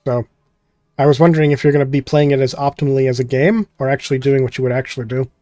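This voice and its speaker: A man's voice: mid-range voice, steady tone, quick pace, mellow, no room sound. A man giving casual, rambling, jokey gameplay commentary and reading game text aloud as host. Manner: rambling, jokey, skims text with filler words. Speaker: host playing a visual novel.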